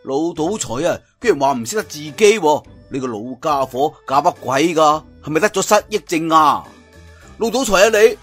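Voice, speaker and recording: A Cantonese man voicing an impetuous, fervent young martial-arts hero in an emphatic, excitable way for a storybook. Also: a little high, normal speed, breathy edge, soft background music, pitched above the performer's own voice. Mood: baffled, urgent.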